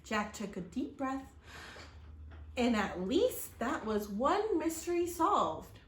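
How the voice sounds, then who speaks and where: A woman with a fairly high, very animated voice reads a children's story aloud in a roomy, echoey space. She speaks expressively, like a teacher, and turns to her young listeners with questions and asides. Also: slow. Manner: expressive, acts out exclamations and gasps. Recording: roomy echo, faint background noise.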